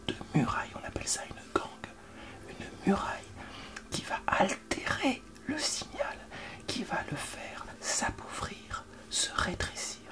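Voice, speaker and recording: A man playing a fussy, pedantic doctor in an ASMR roleplay, explaining things in a hushed voice. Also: very high-pitched, heavily acted.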